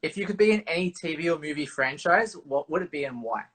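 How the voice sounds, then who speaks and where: Interviewer w a husky voice, just asking quick questions. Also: medium pitch, expressive, fairly bright tone. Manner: brief, plain questions.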